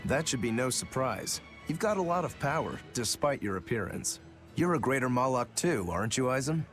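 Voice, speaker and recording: A man's voice: mid-range voice, lively intonation, normal speed, a bit bright, soft background music, natural voice. A man playing an easygoing, joking adventurer in an anime-style video game, breezy, casual and teasing. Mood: matter-of-fact.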